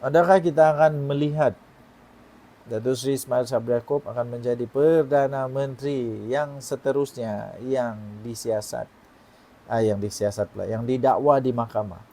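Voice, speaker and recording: A man's voice: mid-range voice, steady tone, moderate pace, warm tone, a little hiss or hum. A man in his forties with a Malaysian Malay accent, giving online political commentary and putting questions to his viewers. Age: 40s. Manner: emphatic, poses rhetorical questions to viewers.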